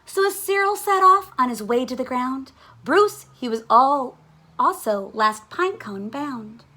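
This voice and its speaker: Woman, high-pitched, slow-paced, expressive storybook read-aloud, dramatic emphasis. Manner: dramatic, deliberate phrasing with emphatic words. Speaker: teacher reading a children's book aloud.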